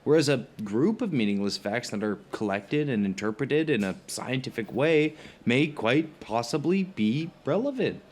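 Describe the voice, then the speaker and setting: A man playing an earnest, wordy skeptic in an audio drama, arguing in a halting, stammering, emphatic way. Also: fairly high pitch, a little mellow. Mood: reasoned, insistent. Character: earnest, skeptical, rationalist male dinner companion, wordy and flustered. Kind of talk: conversational audio drama acting.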